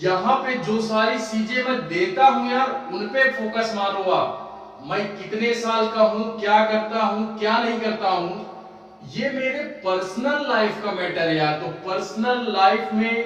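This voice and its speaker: A man's voice: high-pitched, unhurried, fairly bright tone. A young man in his twenties giving a casual coaching lecture, who keeps asking questions and answering them himself. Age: 20s. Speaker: teacher. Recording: echoey far-off room with faint music.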